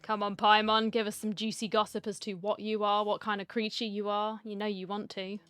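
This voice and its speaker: A woman's voice: mid-range voice, flat delivery, a little fast, a bit bright, smooth, faint music behind. A woman gaming streamer giving casual, chatty commentary and reacting aloud as she plays.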